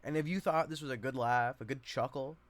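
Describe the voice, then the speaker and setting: A man's voice: medium pitch, a little fast. A man rambling casually to viewers as an amateur online reader, self-deprecating and given to swearing.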